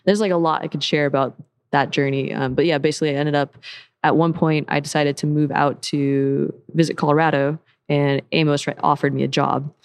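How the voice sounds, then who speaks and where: A woman's voice: deep voice, flat delivery, fairly quick, smooth. Chatty American woman, open and laughing. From Seattle, USA. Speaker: parkour coach and program director. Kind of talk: casual interview chat.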